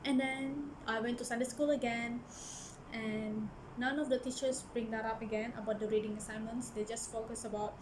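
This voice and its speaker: A young Malaysian woman shares a personal story in a casual vlog, her voice sitting a little high. She speaks earnestly and hesitantly, often stopping to restart a sentence. Clear background noise runs under her voice. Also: steady tone, normal speed. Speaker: book vlogger. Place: church office.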